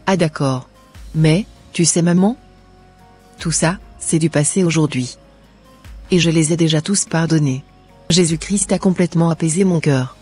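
Man voicing an earnest, husky young cartoon woman. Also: a little high, moderate pace, pauses often, darkish tone. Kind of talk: scripted cartoon dialogue. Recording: music under the voice.

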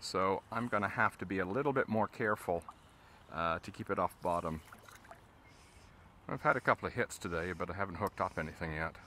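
Low, bright-toned male angler teaching as he fishes, action-cam sound with faint outdoor noise. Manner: relaxed, explains steps as he casts. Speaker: fly fishing instructor. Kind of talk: casual instruction while fishing. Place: outdoors on a river, in a boat.

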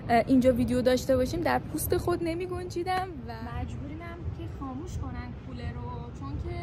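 A young woman vlogging from inside a large factory hall, chatty and excited, laughing often. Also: sits high, background noise. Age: young adult. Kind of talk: casual vlog report. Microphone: handheld phone camera close up.

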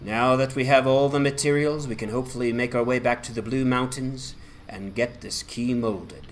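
A man's voice: medium pitch, normal speed, a little hiss or hum. A man narrating a dramatized video game playthrough. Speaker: gaming channel narrator.

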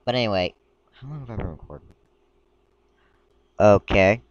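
A man casually commenting while browsing a game page, talking in a rambling, halting way and stumbling as he reads aloud, a little sick. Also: on the low side, dry recording, muffled recording. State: a bit sick. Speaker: gaming video creator. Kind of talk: casual screen-recording commentary.

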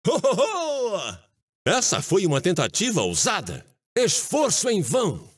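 A man voicing a cocky, excitable cartoon chicken who commentates on races in a video game, theatrical and exclamatory. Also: sits high, unhurried, husky, full character voice. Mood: commentating. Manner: theatrical, exclamatory announcer delivery with crowing. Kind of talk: video game character voice clips, commentary barks.

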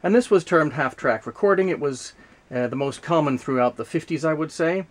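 A man giving an unscripted explanatory demonstration, speaking haltingly with frequent hesitations and self-corrections. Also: a little high. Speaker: presenter demonstrating vintage audio gear.

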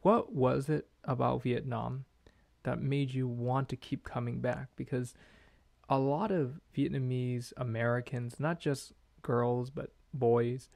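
A man's voice: mid-range voice, fairly even intonation, takes it slowly, gravelly, darkish tone. A young man hosting a podcast, asking curious questions and affirming with "I see" and "gotcha," speaking close to a studio mic at home. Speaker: podcast host. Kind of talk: casual interview chat. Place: bedroom at home.

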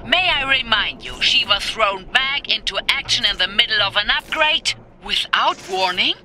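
A woman's voice: on the high side, expressive, moderate pace, pushed and bright, faint music behind. An actress playing a cheeky, plucky young woman who works as a mech engineer in a video game. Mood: indignant. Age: young adult. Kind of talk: video game cutscene acting.

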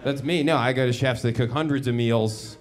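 A young man in his twenties performing a stand-up comedy set in a small club, talking into a handheld mic with quick, confident storytelling. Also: medium pitch, heavy background noise. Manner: brisk, confident storytelling with quick asides and acted-out quotes. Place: small comedy club, indoors, with live audience. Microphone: handheld stage mic. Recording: live club show with loud laughter. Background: loud audience laughter.

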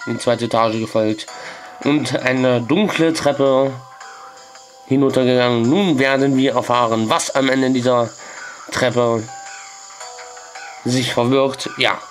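A young man talks slowly into a headset in a small bedroom, hosting a game playthrough. His voice sounds a little strained from a cold, and he pads his sentences with hesitant fillers. Faint music plays underneath. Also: mid-range voice. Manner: hesitant, many filler "ja"s, slow and deliberate. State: has a cold, voice a bit strained. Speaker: let's play host. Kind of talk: let's play commentary, reading game text aloud.

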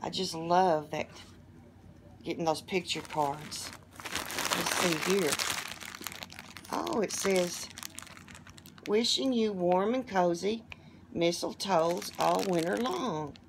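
A hoarse, middle-aged woman talks slowly and gently, her voice sitting a little low as she coos over each gift. She records from a phone on a table in her living room, with a faint hiss of the room behind her. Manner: gushing, softly delighted exclamations. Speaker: vlogger opening gifts. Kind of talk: casual unboxing chat.

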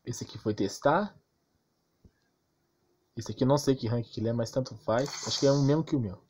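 A man's voice: mid-range voice, fairly quick, a little mellow, slight background noise. Brazilian man, emphatic gaming rant. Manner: emphatic, repetitive, asks rhetorical questions; slangy and crude. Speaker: gaming YouTuber. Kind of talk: casual ranting game commentary.

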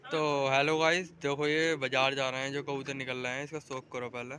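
Bright-voiced young man vlogging outdoors. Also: mid-range voice, little pitch movement, fairly quick. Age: young adult. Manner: chatty, directing friends, calling out to viewers. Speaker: vlogger showing pigeons. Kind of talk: casual vlog narration. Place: outdoors on a rooftop. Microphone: phone camera held in hand.

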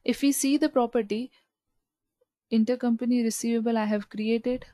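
Female software trainer, fairly high mellow voice, methodical instructional delivery for screen tutorials. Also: fairly even intonation, moderate pace, no room sound. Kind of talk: step-by-step screen tutorial narration.

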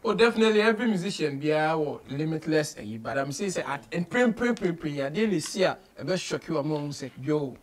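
Male interviewer, Ghanaian accent, slightly high pitch, casual code-switching studio chat. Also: animated, fairly quick, few pauses. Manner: mixes English with local slang and phrases. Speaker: radio show host, interviewer. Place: small radio studio office, indoors.

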